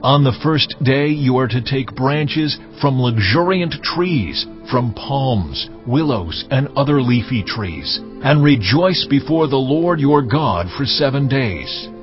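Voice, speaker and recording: A solemn, commanding God, music behind. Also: male voice, mid-range voice, expressive, slow, hardly any pauses. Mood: solemn, instructing. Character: God, solemn male deity giving laws to Israel. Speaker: voice actor in dramatized audio Bible. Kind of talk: dramatized scripture reading. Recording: dull lo-fi audio, music underneath.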